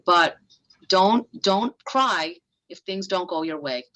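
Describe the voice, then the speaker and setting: A woman family lawyer, giving a frank, brisk presentation to business owners. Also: lowish voice, lively intonation, sharp tone.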